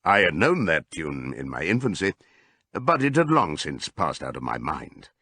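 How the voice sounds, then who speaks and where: A man voicing an old male pig in an audiobook, giving a solemn, deliberate, rousing speech to his comrades. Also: extremely low pitch, sing-song, pushed and bright, dry recording, voice pushed down, full character voice. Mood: grave, reminiscing. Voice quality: hoarse as scripted old voice. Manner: oratorical, deliberate.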